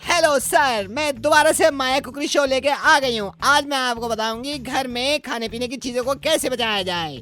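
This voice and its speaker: A woman's voice: high-pitched, moderate pace, hardly any pauses, fairly bright tone. A woman voicing a bubbly, pestering cookery-show presenter who gives silly kitchen tips over the phone in a chirpy, insistent way. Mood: chirpy, mock-instructive. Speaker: prank caller. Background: music.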